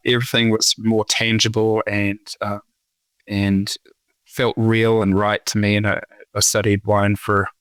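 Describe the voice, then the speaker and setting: A New Zealand man in his forties, a winemaker talking casually in an interview, halting with many fillers and restarts. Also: lowish voice, fairly bright tone, smooth, dry recording. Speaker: winemaker guest.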